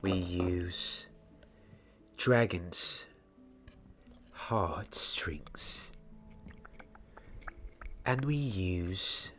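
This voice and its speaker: A young man playing a gentle, mysterious wizard wand maker in a soft, half-whispered ASMR roleplay, speaking deliberately in short phrases. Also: low voice, over music. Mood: instructive, reverent. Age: 20s. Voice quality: hushed, breathy, half-whispered. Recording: audible background hiss, small clicks.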